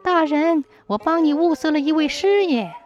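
A woman performing a high-pitched, brash, wheedling matchmaker. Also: animated, normal speed, lo-fi sound, faint music behind. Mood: urgent coaxing. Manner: exclamatory, wheedling, theatrical. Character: brash, fast-talking old-time matchmaker woman, cajoling and boastful.

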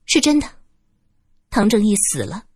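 A calm, earnest young man, as read by a woman storyteller, measured and serious, in her own breathy, lively, close voice. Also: medium pitch, no room sound. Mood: somber.

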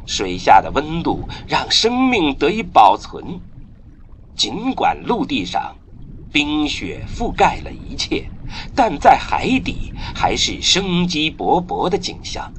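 Slow, hugely expressive male drama narrator. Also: on the high side, a little hiss or hum. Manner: theatrical, storytelling. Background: music.

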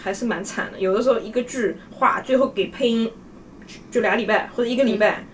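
A woman talking in a casual group chat as a podcast panelist, with an animated, gossipy and emphatic way of talking. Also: on the high side, fast, a little hiss or hum.

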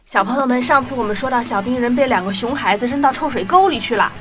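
A woman hosting and narrating a children's audio story in an expressive scripted style, speaking directly to young listeners. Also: fairly high pitch, fast talker, music in the background. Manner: addresses children directly, animated.

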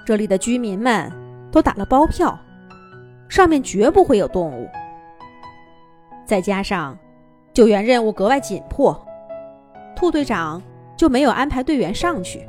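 A woman storyteller reading a children's fairy tale in an expressive, lilting manner. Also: a little high, measured pace, pauses often, soft background music.

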